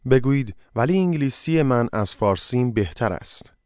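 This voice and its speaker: A man's voice: medium pitch, animated, rapid-fire, darkish tone, dry recording, studio quality. A man narrating a language course, giving short, directive prompts for the listener to repeat. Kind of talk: scripted instruction.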